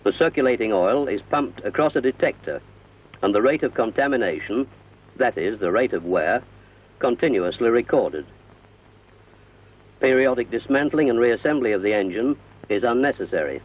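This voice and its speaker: A British man narrating a scripted 1960 industrial newsreel in a formal, measured, explanatory manner, recorded as a voice-over. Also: medium pitch, expressive, breathy edge. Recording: muffled, narrow-band vintage film soundtrack.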